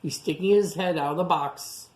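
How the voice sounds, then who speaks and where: A woman reading a picture book aloud to children, chatting to them in animated asides and questions. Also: low voice, normal speed. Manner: animated asides to young listeners, asking questions. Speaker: storytime reader.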